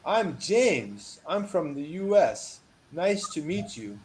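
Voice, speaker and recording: A man teaching a one-on-one English lesson over a video call, patient and encouraging, reading model phrases with lively emphasis. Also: a little high, slow pace. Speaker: English tutor.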